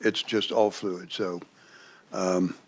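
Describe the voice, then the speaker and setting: A man's voice: deep voice, flat delivery, slow. A man chairing a legislative committee meeting, running procedure in a courteous manner.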